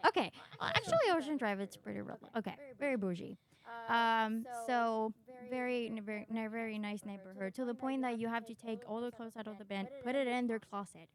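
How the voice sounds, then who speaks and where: A woman from Chile telling personal stories casually as a podcast guest, in an animated, candid, self-mocking way. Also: a little high, a little fast, crowd noise. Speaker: podcast guest, doctor in training.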